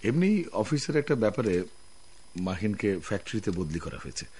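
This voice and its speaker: Deep, husky, earnest office manager. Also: male voice, sing-song, moderate pace, a little mellow. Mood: reassuring, concerned. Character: earnest, polite, principled male office manager. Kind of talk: radio drama acting.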